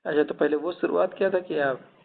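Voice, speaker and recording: Higher-pitched man on a dull phone recording. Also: steady tone, brisk, gravelly. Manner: probing, insistent questioning. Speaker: caller asking questions. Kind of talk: casual private phone conversation.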